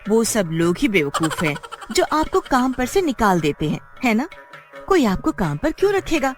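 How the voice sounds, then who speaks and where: A woman's voice: a little high, big pitch swings, moderate pace, soft background music, pitched above the performer's own voice. A woman voicing a sarcastic, exasperated village wife who scolds her foolish husband in a cartoon moral story. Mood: sarcastic scolding.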